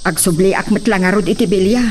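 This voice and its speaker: A man's voice: high-pitched, quick pace, a little mellow, lightly acted. A meek servant voiced by a man speaks hesitantly and whines with deference. It is radio drama acting, a little distant from the mic, with faint noise and insects. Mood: fearful, whining. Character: meek, fearful, mistreated servant.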